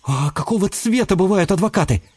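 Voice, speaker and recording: A man's voice: high voice, animated, brisk, a little mellow, breathy edge, pitched above the performer's own voice. A man voicing an earnest, naive little boy chameleon in a storybook reading, with a childlike, pleading manner. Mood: hopeful, curious.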